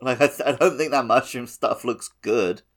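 A man's voice: medium pitch, normal speed, fairly bright tone. A man talks casually as a gaming podcast co-host. His voice sits in a middle register. He is wry and freely opinionated.

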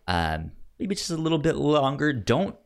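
A man co-hosting a film podcast in casual chat, haltingly repeating words as he thinks. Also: a little high, big pitch swings.

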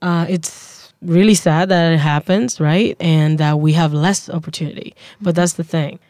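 A young Cuban woman musician chatting casually in an interview, quick with little laughs. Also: deep voice, steady tone, darkish tone, no echo, studio quality. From Cuba. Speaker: musician and drummer.

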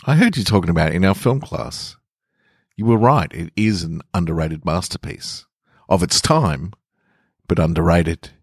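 A man reading a teasing young woman, low-voiced. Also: moderate pace, gravelly, husky, no room sound, clean studio sound, full character voice. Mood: sincere, fond. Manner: playful, assured. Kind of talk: short story character dialogue.

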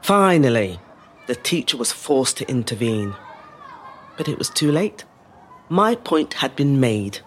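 A woman playing a resilient middle-aged woman telling her life story in a measured, reflective dramatic monologue. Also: very deep voice, sing-song, some chatter behind, a little hiss or hum, exaggerated voice. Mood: defiant. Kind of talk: scripted dramatic monologue, first-person storytelling.

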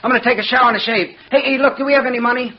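An actor playing an excitable, self-absorbed writer husband, eager and chatty, in an old-time radio drama. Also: male voice, very high-pitched, rapid-fire, no echo, dull audio. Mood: breezy, eager.

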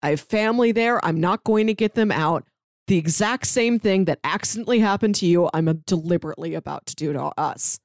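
A woman's voice: on the low side, fairly quick, no room sound, clean studio sound. An American woman podcast co-host retelling stories in casual, rambling and emphatic conversation. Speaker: podcast co-host discussing comics and books.

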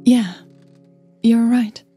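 A woman's voice: on the high side, steady tone, extremely slow, dark tone, husky, faint music behind, voice pushed up, heavily acted. A woman voicing a career-minded, disappointed diva in an audio drama, answering in short, guarded replies. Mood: resigned.

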